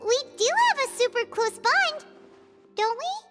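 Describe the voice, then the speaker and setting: A woman voicing a small, cheerful, curious flying girl companion in an anime-style video game, with a squeaky childlike voice and an eager manner. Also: expressive, unhurried, heavily acted. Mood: bright, chatty. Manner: speaks of self in third person, eager. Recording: loud background music under the voice.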